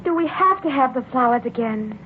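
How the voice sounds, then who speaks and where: An actress playing a jealous, anxious wife, plaintive and emotional, in 1940s radio drama style on a noisy, muffled old recording. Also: female voice, high-pitched, animated, brisk. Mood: wistful, uneasy. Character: jealous, anxious young-hearted wife, fretful and pleading.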